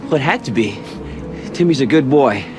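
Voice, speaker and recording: A man's voice: mid-range voice, animated, moderate pace, over loud music, natural voice. An actor playing a menacing, aggrieved middle-aged father who questions people accusingly in a television drama. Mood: probing, menacing.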